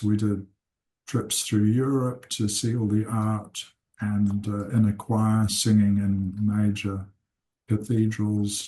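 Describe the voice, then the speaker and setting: A man in his seventies, born in England and raised in New Zealand, calmly introducing himself and his life story, pausing often. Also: lowish voice, rough recording. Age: 70s. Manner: slow, unhurried, with frequent "uh" pauses. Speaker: seminar teacher and consultant. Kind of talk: unscripted personal introduction.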